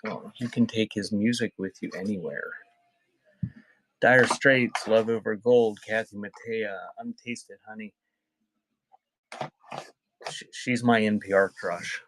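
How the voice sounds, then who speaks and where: A man with a fairly low voice hosts a casual live-stream sale. He reads out items off the cuff and chats with viewers as he goes. There is faint noise and clicking in the recording. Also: steady tone, takes it slowly, long pauses. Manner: off-the-cuff, chatting with viewers by name. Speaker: online seller hosting a live cassette sale. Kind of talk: casual live-stream chat while reading out album titles.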